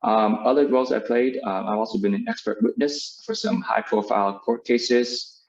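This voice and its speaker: A man's voice: medium pitch, expressive, normal speed. A man in his forties, born in Vietnam and raised in the United States, presenting as a professor in a video call, with many fillers and restarts. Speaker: business school professor giving a webinar talk. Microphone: video call microphone. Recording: dull lo-fi video call audio.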